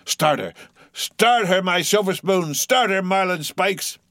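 A man's voice: high-pitched, animated, slow pace, fairly bright tone, no echo, clean studio sound, voice pushed up, full character voice. A man reading aloud, voicing a jovial, drawling ship's mate who coaxes and scolds his rowers. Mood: rousing, coaxing. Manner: coaxing, jovial, drawling exhortations. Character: blustering cheery mate urging rowers, man.

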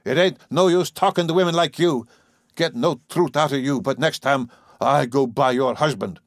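A man reading an audiobook, voicing a gruff, bullying old farmer who whines and mangles his consonants in a rough rural dialect. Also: mid-range voice, normal speed, dry recording, studio quality, voice pushed up, lightly acted. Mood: accusing.

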